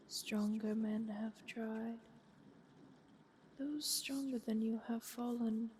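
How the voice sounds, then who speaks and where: A woman voicing a refined, haughty ancient vampire countess in an ASMR roleplay, speaking in a soft, breathy half-whisper with poised, wry, formal phrasing. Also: fairly high pitch. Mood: haughty, reassuring. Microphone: close binaural microphone. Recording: close binaural mic with clear hiss.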